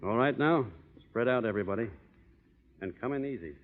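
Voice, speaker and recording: An actor playing a steady, commanding cowboy posse boss in a 1930s radio western, giving terse orders. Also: male voice, mid-range voice, a little fast, close to an everyday voice. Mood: controlled, commanding. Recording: tinny, reverberant old radio broadcast.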